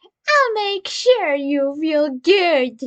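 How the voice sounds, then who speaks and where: A woman voicing a smug, dominant young man in a fan story, with a drawn-out, teasing, commanding delivery. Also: sits high, sing-song, pitched above the performer's own voice, full character voice. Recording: dull lo-fi recording.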